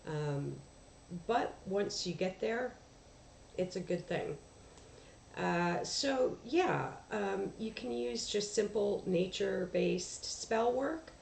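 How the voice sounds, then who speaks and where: A woman chatting casually on a livestream, congested from allergies, rambling with many ums and throat clears, recorded through a headphone mic across the room at home. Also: medium pitch, animated, takes it slowly, a little mellow. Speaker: livestream host. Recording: echoey room, faint background noise.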